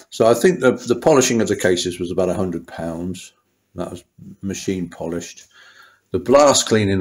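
A middle-aged man with a low, deep voice, talking unscripted in a small workshop. He rambles through the work, restarting sentences and filling pauses with ums and uhs. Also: darkish tone. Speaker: motorcycle mechanic. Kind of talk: workshop walkthrough talk.

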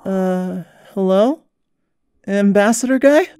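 Woman acting a mellow, naive young tinkerer. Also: medium pitch, slow pace, clear, resonant tone, no echo, lightly acted. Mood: nervous. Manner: casual, hesitant. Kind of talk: comic dub narration and dialogue.

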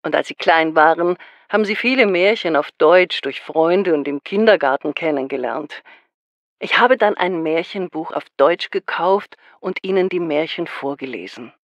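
A woman playing a calm radio caller in a scripted language-course dialogue. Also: low-pitched, normal speed, dry recording, dull audio. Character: woman caller who moved from abroad.

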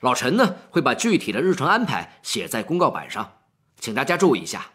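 A man playing a composed, liberal-minded professor and department head in an audio story, reasoning in a measured, authoritative way. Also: mid-range voice, huge pitch swings, fairly bright tone. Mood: calm, official.

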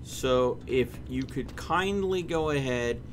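A man's voice: mid-range voice, takes it slowly. A man in his thirties, a game streamer chatting casually with friends in an exasperated, sarcastic, wordy way. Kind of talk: casual chat while gaming with friends. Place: indoor room at home. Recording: game vehicle noise behind voice. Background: video game vehicle and ambience noise.

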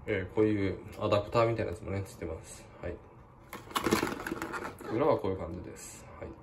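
A man's voice: lowish voice, steady tone, fast talker. A man giving a casual, conversational hands-on DIY demonstration as a vlogger. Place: indoors at a kitchen sink by a window. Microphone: smartphone set near the sink. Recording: roomy echo, clear running-water noise. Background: running water and room ambience.

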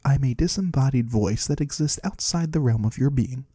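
Man playing a hushed, husky void-dwelling trader. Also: mid-range voice, dry recording, clean studio sound, natural voice. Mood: curious, pleased. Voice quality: husky, breathy, sometimes whispering. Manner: slow, hushed, gently curious. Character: mysterious, curious, courteous disembodied male trader of the void. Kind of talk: soft-spoken ASMR roleplay. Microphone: close, dry microphone.

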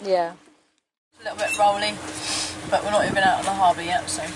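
A woman's voice: mid-range voice, fairly even intonation, fairly quick, background noise. A woman in her thirties with an English accent, chatting and narrating casually as a sailing vlogger on a handheld camera microphone. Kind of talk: casual vlog chat and narration. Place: aboard a small sailing boat outdoors.

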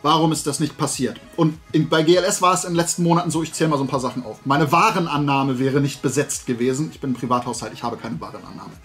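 A man in his forties telling an anecdote casually to camera, animated and with sarcastic asides. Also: fairly high pitch, fast, hardly any pauses, faint music behind. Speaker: host of a talk and toy channel. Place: small room at home with shelves of collectibles.

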